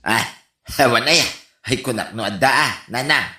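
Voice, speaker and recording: A man's voice: medium pitch, moderate pace, bright, forceful tone. A man acting a boastful, money-minded but gullible village husband in Ilocano comedy radio drama, with an Ilocano accent and exaggerated hesitant delivery. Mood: evasive. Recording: roomy, echoing sound.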